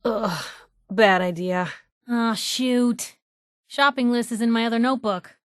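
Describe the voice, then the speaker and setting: A woman's voice: a little high, a little slow, dry recording, studio quality, natural voice. A woman playing a wry, inquisitive young reporter companion in a video game, with an American accent and a chatty, sarcastic way of talking. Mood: sardonic disgust, then wry, teasing. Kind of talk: video game companion dialogue, conversational asides.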